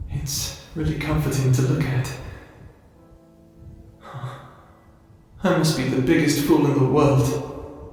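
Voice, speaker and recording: A man playing a shy, nerdy, excitable young wizard in an audio roleplay, with a stammering, flustered, self-doubting manner. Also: mid-range voice, breathy edge. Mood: dejected, self-pitying. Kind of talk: scripted audio roleplay acting.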